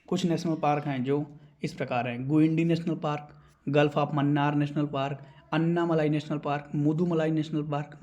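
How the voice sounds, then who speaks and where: A man's voice: fairly high pitch, darkish tone. A man teaching exam questions, explaining answers and repeating key terms so they stick.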